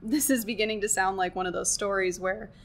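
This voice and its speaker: A woman's voice: mid-range voice, steady tone, a little fast, lightly acted. A woman playing a young American tourist in a radio play, earnest, wry and self-deprecating, with an American accent. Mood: polite, curious. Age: young adult.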